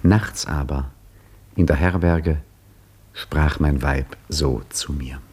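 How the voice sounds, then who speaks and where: A man's voice: extremely low pitch, slow pace, a little mellow, husky, heavily acted. An actor playing Hakim, an ironic storyteller who also speaks in his own story, delivering dry, theatrical lines in a 1950s radio play. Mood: wry. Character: Hakim, wry storyteller looking back on his youth, lively and ironic. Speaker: narrator and protagonist.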